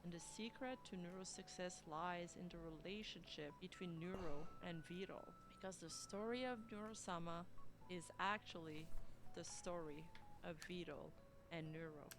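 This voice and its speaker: A woman's voice: lowish voice, moderate pace. A woman delivering a scripted video essay with emphatic, dramatic phrasing. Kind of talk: scripted narration. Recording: music behind the voice. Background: background music.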